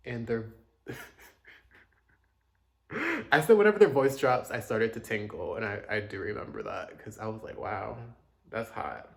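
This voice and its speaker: A man's voice: lowish voice, fairly bright tone. A man casually chatting through his music reviews, chatty and self-deprecating, with joking asides. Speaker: K-pop reaction host.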